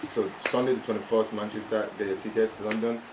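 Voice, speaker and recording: A man's voice: lowish voice, level tone, fairly quick. A man making event announcements at a press conference. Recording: echoey room with clear background noise.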